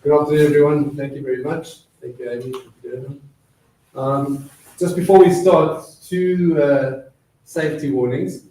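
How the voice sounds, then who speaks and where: A man's voice: a little high, steady tone, normal speed, dark tone. Middle-aged south african guy with some british in his accent, chatty guest lecture, um-heavy, sounds far off the mic in a big echoey room. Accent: South African with British influence. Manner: chatty, self-deprecating, frequent fillers. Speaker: guest speaker. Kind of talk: lecture presentation.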